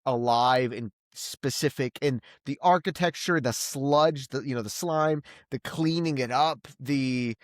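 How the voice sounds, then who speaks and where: A man's voice: mid-range voice, measured pace, few pauses, no room sound. Regular guy voice, podcast book chat, kinda figuring it out as he talks, dry recording. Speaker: podcast host reviewing books. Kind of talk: casual discussion.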